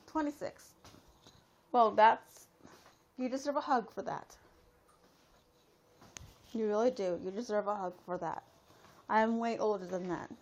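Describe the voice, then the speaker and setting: A woman's voice: medium pitch, measured pace, long pauses, darkish tone, dull audio. A woman in her late thirties chatting casually on a live stream, rambling and talking straight to her viewers with lots of fillers. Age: 30s. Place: at home.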